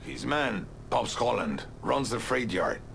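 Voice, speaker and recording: An actor playing a bitter, hard-bitten Cuban exile informant in a video game, gravelly and scornful, with a Cuban accent. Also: male voice, mid-range voice, lively intonation, measured pace, fairly bright tone, a little hiss or hum, exaggerated voice. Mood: bitter, wary. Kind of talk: video game cutscene acting.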